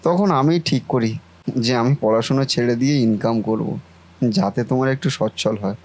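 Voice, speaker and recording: A man's voice: medium pitch, fairly quick, a little mellow, natural voice. Man playing an earnest, indignant family-devoted brother. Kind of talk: cartoon family melodrama voice acting.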